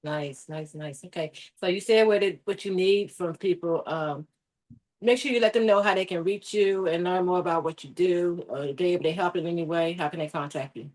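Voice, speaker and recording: Brisk woman hosting a video call. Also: on the low side, darkish tone. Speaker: networking event host.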